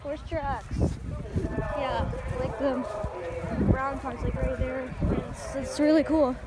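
A young woman vlogging casually on a handheld phone, giggly and excitable, sometimes shouting. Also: sits high, takes it slowly. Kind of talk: casual chat. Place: outdoor racecourse and car. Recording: noisy phone recording outdoors. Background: crowd and outdoor noise.

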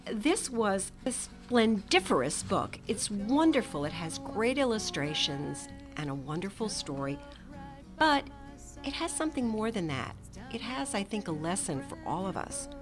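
A middle-aged woman reading a children's picture book aloud with gentle, expressive storytelling and soft whispered asides. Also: mid-range voice, measured pace. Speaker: storytime reader. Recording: music playing under the voice.

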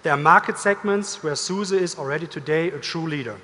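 A middle-aged man giving a corporate keynote presentation in a measured, deliberate way, pausing as he goes. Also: fairly high pitch, bright, forceful tone. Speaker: company executive, keynote speaker. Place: large indoor conference stage. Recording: reverberant hall, stage amplification.

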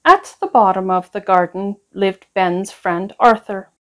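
A woman reading a children's picture book aloud in an expressive storytelling style. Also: medium pitch, a little slow. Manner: expressive, acts out dialogue.

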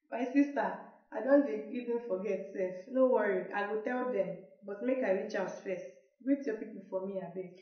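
A woman's voice: medium pitch, moderate pace, close to an everyday voice. Nigerian village woman, acted, muffled and roomy. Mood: friendly. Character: hurried, tired young village woman. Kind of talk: radio drama acting in Nigerian Pidgin. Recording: muffled, roomy, music behind.

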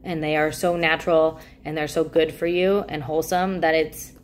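A woman's voice: low voice, level tone, normal speed. A young woman chatting enthusiastically to camera about a product, talking with her hands in a small room. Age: young adult. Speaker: product reviewer. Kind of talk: casual talking-head product chat. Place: small indoor room by a plain wall.

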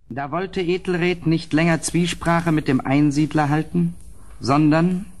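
A man playing an anxious, well-mannered houseguest in a gothic horror radio play, with a grave, literary delivery and a husky voice. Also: mid-range voice, close to an everyday voice. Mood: dramatic reading.

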